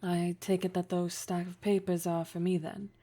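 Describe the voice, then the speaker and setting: A woman playing a poised, flirtatious, domineering boss in a roleplay audio drama, speaking formally and with measured pauses in a teasing, condescending way. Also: fairly low pitch, little pitch movement, mellow. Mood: poised, welcoming.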